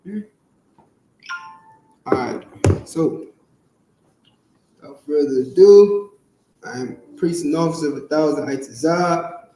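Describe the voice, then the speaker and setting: A man with a fairly high voice teaching slowly, pausing often to check that his students follow along. He sounds off from the mic in a very echoey room. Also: far from the mic, background noise. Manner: slow, repetitive, checks in with "all right" and "you understand". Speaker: Hebrew class teacher. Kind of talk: classroom teaching over a chart.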